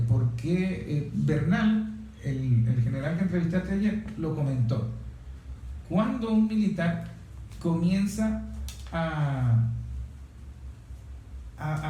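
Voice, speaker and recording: A man in a reflective political discussion as interviewer, speaking haltingly with repeated words and rhetorical questions. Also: fairly high pitch, warm tone. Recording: very echoey room, distant mic, faint noise.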